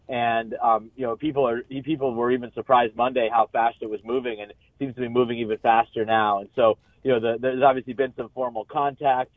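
A man's voice: medium pitch, no echo. Man on a muffled, band-limited call-in line. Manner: halting, repeats words, frequent "you know". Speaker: sports journalist, radio guest. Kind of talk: sports analysis in a live radio interview. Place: remote call into a radio show.

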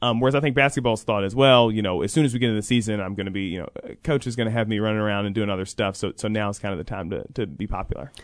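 A man's voice: fairly low pitch, racing, hardly any pauses, no room sound, studio quality. A man speaking as an interview guest on a radio talk show, explaining things at length in careful, qualified points. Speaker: interview guest, college athletics program coordinator.

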